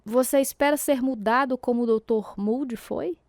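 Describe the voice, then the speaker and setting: A woman acting as a caring, devout wife who gently questions her husband, affectionate and concerned, with a Brazilian accent in a religious radio drama. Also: a little high, moderate pace, no room sound, clean studio sound, natural voice. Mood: gently questioning.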